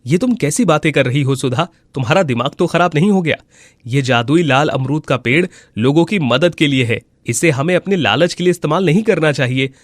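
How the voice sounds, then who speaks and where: A man playing a simple, kind-hearted villager in a cartoon moral story, with an earnest, expressive delivery. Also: on the high side, fairly quick, no echo, clean studio sound, close to an everyday voice. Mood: indignant. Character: honest, simple, kind-hearted village husband and his neighbours.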